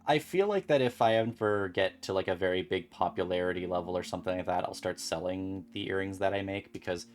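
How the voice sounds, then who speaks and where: A streamer chatting casually and calmly while beading at a home desk, rambling gently with many fillers. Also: deep voice, brisk, faint music behind. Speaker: crafting streamer.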